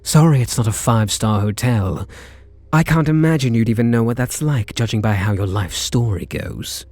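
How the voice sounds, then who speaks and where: A British man playing a charming, teasing kidnapper who is softening toward his captive, in an intimate ASMR roleplay. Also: medium pitch, no room sound. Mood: amused, teasing. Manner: teasing, flirtatious, murmuring pet names.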